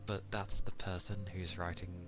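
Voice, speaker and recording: A man from England with an English accent, chatting casually on an ASMR stream, soft-spoken and at times drifting into whispers. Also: low-pitched, flat delivery, normal speed, over music.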